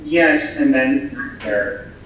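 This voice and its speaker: Male actor as servant, mid-pitched, patient, deferential, subdued, stage comedy reading, heavy room echo. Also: very slow, fairly bright tone, highs cut off. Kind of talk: theatrical comedy play reading. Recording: very echoey room, distant mic, faint noise.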